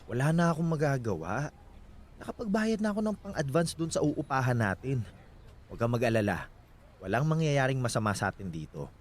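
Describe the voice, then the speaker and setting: An actor playing a confident, protective newlywed husband in a radio drama, soothing yet insistent. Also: male voice, mid-range voice, big pitch swings, a little fast, natural voice.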